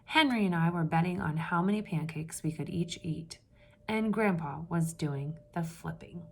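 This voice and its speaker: A woman reading a picture book aloud to children with lively expression, pausing to ask her listeners questions in hushed asides. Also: lowish voice. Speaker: read-aloud storyteller for children.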